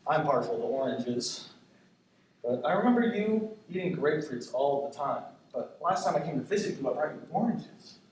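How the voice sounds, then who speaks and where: A man's voice: on the high side, lively intonation, moderate pace, a little mellow, close to an everyday voice. A man acting a tender grandson in his twenties in a stage monologue, confiding in his departed grandmother in a reflective, hesitant, conversational way. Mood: fond, wistful. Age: 20s. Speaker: stage actor in a student play. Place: indoor stage, recorded from afar. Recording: echoey, distant stage recording with noise.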